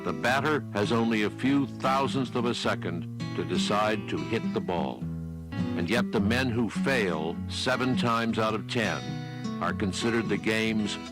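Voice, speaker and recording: A man reading scripted documentary narration in a measured, deliberate way. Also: on the low side. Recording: loud guitar music underneath. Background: plucked guitar music.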